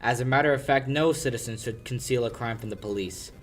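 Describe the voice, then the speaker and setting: A man's voice: medium pitch, fairly quick, natural voice. A young man plays a brash male lawyer in an old-time radio play. He is stubborn and talks in the manner of a 1940s drama, with a youthful voice. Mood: grave, measured. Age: young adult. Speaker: high school actor.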